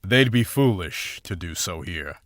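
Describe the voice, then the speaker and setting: A man's voice: sits low, expressive, a little slow. A man playing a stoic, scholarly monster hunter in a visual novel, speaking in a formal, composed, literary way. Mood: calm, reassuring. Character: stoic, scholarly male monster hunter, calm and matter-of-fact. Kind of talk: scripted visual novel drama and journal narration.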